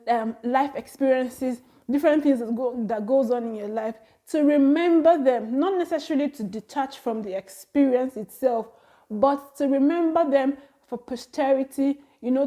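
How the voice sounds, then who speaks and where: Nigerian woman, 30s, high voice, chatty vlogger who laughs a lot and exclaims. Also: mellow. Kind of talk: casual talk to camera.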